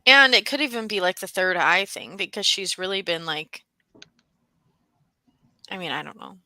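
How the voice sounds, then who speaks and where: A woman's voice: medium pitch, lively intonation, normal speed, pushed and bright. A woman co-hosting a book discussion, chatting casually and tentatively, peppering her speech with "like.". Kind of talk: casual chat.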